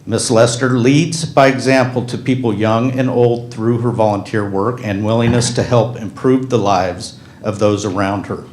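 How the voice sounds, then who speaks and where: A man's voice: on the low side, fairly even intonation, moderate pace, few pauses, darkish tone. Man reading a resolution in echoey chamber. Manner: formal reading mixed with asides. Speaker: county supervisor. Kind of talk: reading a resolution at a public meeting. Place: county board meeting room.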